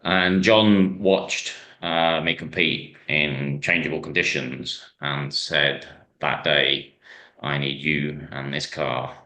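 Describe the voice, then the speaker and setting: A man from Ireland, a racing driver being interviewed, telling stories in a rambling way with many pauses and restarts. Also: very deep voice, fairly even intonation, sharp tone. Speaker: hill climb driver, guest. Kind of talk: casual interview chat.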